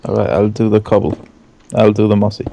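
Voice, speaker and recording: A man's voice: fairly low pitch, monotone, a little fast, vocal fry, dark tone, slight background noise. A man from Gibraltar with a Gibraltarian accent, planning a build matter-of-factly while playing. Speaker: gaming video co-host. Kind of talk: casual gaming chat.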